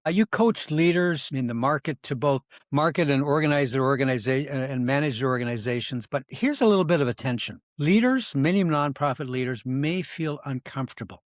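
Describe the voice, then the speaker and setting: A man's voice: medium pitch, no room sound, studio quality. A middle-aged man hosting an interview podcast, speaking in an earnest, encouraging way. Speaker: podcast host.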